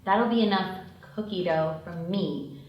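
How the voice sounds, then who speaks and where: A woman's voice: fairly low pitch, measured pace, highs cut off, close to an everyday voice. A woman playing a cautious, easily exasperated young student thief at a screenplay table read, in an echoey room. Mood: guarded. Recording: echoey room, distant microphone.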